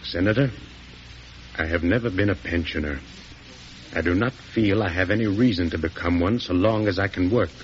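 A man acting an earnest, proud young war veteran in mid-century radio drama, formal and deferential, with a slightly husky voice. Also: low voice, moderate pace, slight background noise, close to an everyday voice. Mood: proud, hurt. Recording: muffled old radio broadcast.

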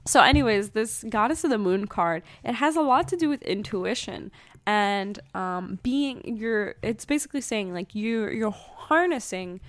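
A woman's voice: mid-range voice, animated, a little slow. A young woman talks through a spiritual card reading, chatty and rambling, stopping often on ums and side thoughts. Her voice sits mid-height for a woman. The sound is dry and close, with no room echo. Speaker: spiritual podcaster. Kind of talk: casual card-reading chat.

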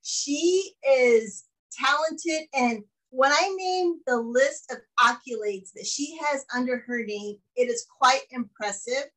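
A woman's voice: high voice, slow pace, a bit bright, low-quality recording. Bubbly woman podcast host. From Mexican heritage. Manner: upbeat and gushing, with frequent laughs. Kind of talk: casual interview chat.

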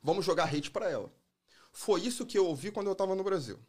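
A man's voice: high voice, lively intonation, fast. A man from Brazil with a strong Rio accent, a guest in a casual podcast chat, talking emphatically. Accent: carioca. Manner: emphatic, swears he never felt prejudice.

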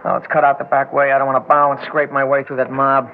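A man's voice: mid-range voice, monotone, quick pace, close to an everyday voice. An American actor playing a surly, sneering young tough guy, curt and sarcastic, in 1960s radio drama style. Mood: sour, irritable. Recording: tinny, noisy old radio recording.

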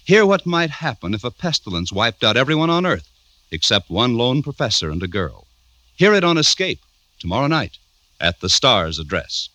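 A man's voice: medium pitch, very animated, moderate pace, bright, forceful tone, no echo. A man announcing in polished, emphatic, formal 1950s radio style, reading a scripted promo. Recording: vintage radio recording.

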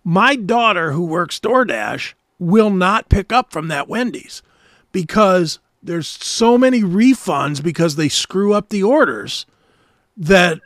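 Man, middle-aged, American, high-pitched voice, close dry sound, blunt opinionated talk show banter. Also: animated, takes it slowly, a bit bright, dry recording. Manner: blunt, swearing, ranting. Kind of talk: casual, opinionated chat.

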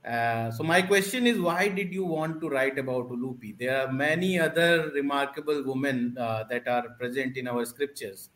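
A man hosting an interview, asking halting questions full of fillers. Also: mid-range voice, fairly bright tone, reverberant. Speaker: podcast host. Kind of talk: interviewing a guest.

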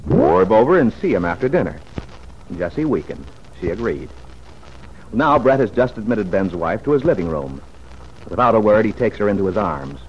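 A male narrator of an old radio drama speaks in a low voice with lively intonation. His storytelling is scripted and dramatic, on a faintly hissy vintage recording. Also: rough recording.

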